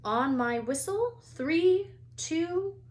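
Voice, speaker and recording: A woman voicing a brisk, bossy older female flying teacher in a storybook, barking commands. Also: very high voice, animated, darkish tone, pitched above the performer's own voice, exaggerated voice. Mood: barking, commanding.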